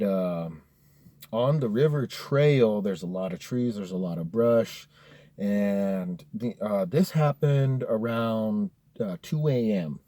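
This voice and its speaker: A man's voice: lowish voice, warm tone, no room sound. A young American man telling a personal horror story casually, swearing freely and using slangy talk like dude and bro. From Colorado, United States. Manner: profane, slangy, addressing listeners as dude and bro. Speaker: storyteller, host of a story-time series.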